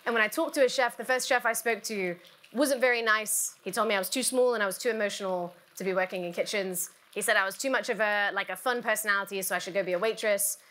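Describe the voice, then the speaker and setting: A woman's voice: medium pitch, fast, fairly bright tone. A young woman from the South West giving an earnest, chatty conference talk about bartending, with many fillers. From South West England. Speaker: bar education advisor. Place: conference stage.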